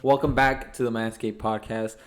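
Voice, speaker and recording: A man's voice: mid-range voice, steady tone, normal speed, faint music behind. A young man hosting a casual podcast chat, upbeat and teasing, laughing often with his co-hosts. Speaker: podcast host.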